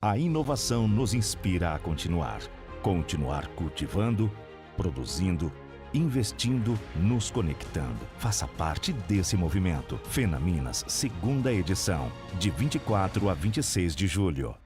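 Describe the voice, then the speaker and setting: A man's voice: deep voice, very animated, unhurried. A man reading an event promo as a grand, declaiming voice-over. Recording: voice-over over music.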